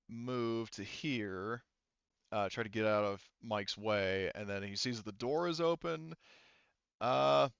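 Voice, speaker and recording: A man running an online tabletop roleplaying game as game master, thinking aloud in a halting way over a headset mic. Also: lowish voice, a bit bright, smooth, no echo, dull audio. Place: online voice session.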